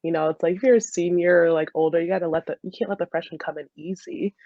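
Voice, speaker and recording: A woman's voice: on the low side, fairly even intonation, fast talker, no echo. Young woman, American accent, casual anecdotal interview guest, giggly manner, dry and slightly dull audio. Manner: giggly, laughs often while talking. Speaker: interview guest, former college soccer player.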